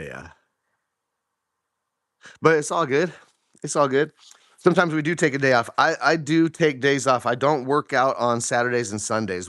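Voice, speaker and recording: A man with a hoarse, deeper morning voice, chatting casually to his viewers while he walks outdoors with his phone, rambling with lots of fillers and questions. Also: a bit bright, no echo, studio quality. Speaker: vlogger. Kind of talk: casual talk to camera on a walk. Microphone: phone held while walking.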